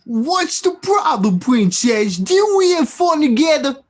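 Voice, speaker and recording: A man's voice: very high-pitched, big pitch swings, measured pace, heavily acted. A man voicing a sleazy, pushy male suitor with a smarmy manner in a script read-aloud. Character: sleazy male wolfish suitor in gold chains.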